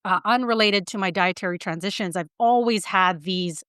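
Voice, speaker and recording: Smooth-voiced woman, chatty and animated. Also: mid-range voice, normal speed, dry recording, clean studio sound. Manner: animated storytelling with many fillers and restarts. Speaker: guest, vegan writer and publicist. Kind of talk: casual interview talk.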